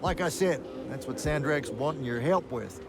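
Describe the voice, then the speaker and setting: An actor playing a world-weary battlemage mentor in a fantasy video game, talking in a wry, folksy, colloquial way. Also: male voice, fairly high pitch, expressive, moderate pace. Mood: bitter, weary. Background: music.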